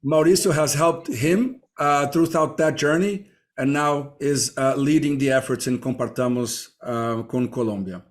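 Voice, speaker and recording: A man hosting a podcast interview, introducing his guests warmly and asking questions with many hesitant fillers. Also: medium pitch, measured pace, few pauses. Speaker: podcast host.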